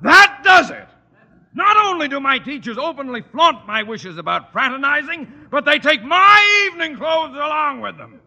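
A man's voice: very high-pitched, sing-song, normal speed, creaky, pushed and bright, highs cut off, heavily acted. An actor playing a blustering, pompous, exasperated middle-aged father and school principal in an old radio comedy. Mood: pompous indignation. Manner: pompous, sputtering, indignant. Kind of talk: 1950s radio comedy acting. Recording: noisy old radio recording.

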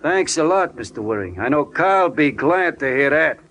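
An actor playing a suspicious, griping small-time American crook, wary and slangy, in an old radio crime drama. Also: male voice, fairly high pitch, expressive, normal speed, heavy background noise. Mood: guarded, smooth. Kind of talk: 1940s-50s radio crime drama acting.

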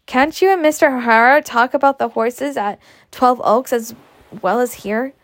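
A woman's voice: a little high, moderate pace, no room sound, lightly acted. A woman voicing an impatient, complaining young daughter in a storybook. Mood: impatient, whiny.

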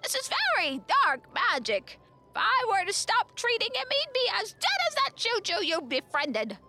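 A woman voicing a cranky, sarcastic old witch healer in a screechy, high-pitched voice for a fantasy story. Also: sing-song, moderate pace, pushed and bright, heavily acted. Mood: screeching, scolding. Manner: mocking, nagging, insulting.

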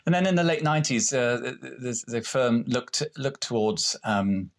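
A man's voice: medium pitch, normal speed. A man, answering interview questions in a hesitant way, full of restarts and fillers. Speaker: renewable energy executive.

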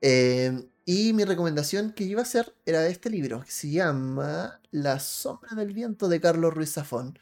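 A man's voice: fairly high pitch, animated, normal speed, creaky, hardly any pauses, darkish tone. A man chatting casually and enthusiastically as host of a live role-playing stream on a video call. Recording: music playing behind the voice.

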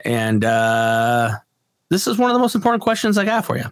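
A man's voice: medium pitch, big pitch swings, a little fast, no room sound, studio quality. A man co-hosting a casual movie podcast, rambling, swearing and laughing a lot. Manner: swearing, rambling, laughs often, breaks into quoted voices. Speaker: podcast co-host.

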